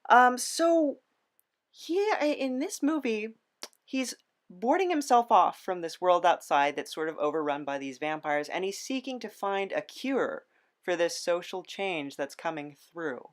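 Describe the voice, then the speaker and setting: A woman's voice: on the low side, unhurried. A woman hosting a conversational podcast interview, thoughtful and a little hesitant as she forms her questions.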